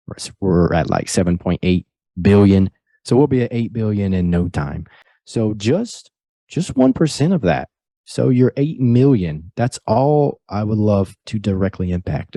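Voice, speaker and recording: A man with a low, warm, mellow voice speaks as a guest on a podcast. He sounds earnest and motivational and keeps a steady delivery. The sound is dry and close, with no room echo. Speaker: podcast guest, real estate broker. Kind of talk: casual interview chat.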